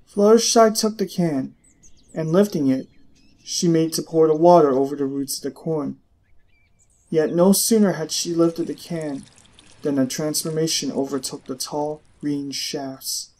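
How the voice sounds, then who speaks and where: A man reading fiction aloud as a narrator, in an expressive, dramatic storytelling style. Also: a little high, unhurried, poor audio. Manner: expressive, literary storytelling delivery.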